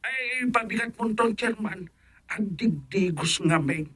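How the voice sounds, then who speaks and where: Bashful Ilocano councilor for radio comedy, played by a man: higher male voice, halting, stammering, giddy. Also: lively intonation, a bit bright, breathy edge, exaggerated voice. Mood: sheepish. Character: laughing, bashful village councilor, giddy about marrying. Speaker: actor in radio comedy drama. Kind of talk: Filipino radio drama comedy acting.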